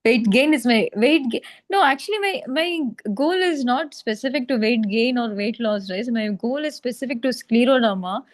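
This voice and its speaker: A woman's voice: fairly high pitch, expressive, moderate pace, hardly any pauses. A woman, a client in a nutrition program, speaking politely on a video call with many fillers and restarts. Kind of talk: casual online meeting talk.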